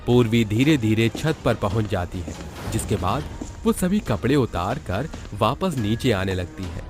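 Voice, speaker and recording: A man's voice: lowish voice, sing-song, unhurried. A man narrating a cartoon moral horror story in a dramatic, scripted storytelling style. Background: background music.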